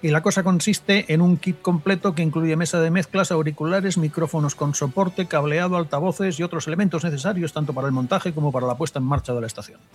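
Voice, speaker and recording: A man's voice: a little high, fast talker, few pauses. A man from Spain co-hosting a casual radio show, easygoing and joking. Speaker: radio co-host.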